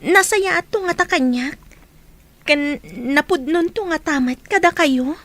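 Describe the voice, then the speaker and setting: A woman's voice: extremely high pitch, a little slow, fairly bright tone. A woman with an Ilocano accent playing a wary, questioning teenage daughter in a radio drama. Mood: curious, questioning. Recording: background music under the voice.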